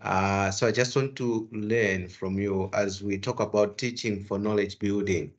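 A man from Malawi asks a courteous question at an online seminar, hesitating often. His voice is very low. The call audio is muffled. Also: fairly even intonation, unhurried. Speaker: seminar participant.

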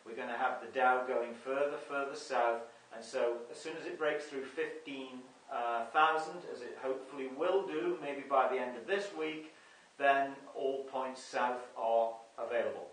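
A middle-aged man talking to camera from his living room sofa, earnest and measured, with many fillers and restarts. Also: medium pitch, a bit bright. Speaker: video broadcaster. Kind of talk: scripted-free monologue to camera. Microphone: camera mic from across the room. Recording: echoey room, voice heard from a distance.

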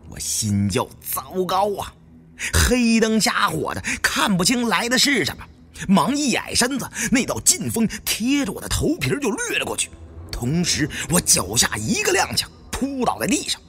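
Animated male audiobook storyteller over music. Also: high voice, normal speed, slightly rough. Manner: expressive storytelling. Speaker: audiobook narrator. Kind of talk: dramatic first-person novel narration.

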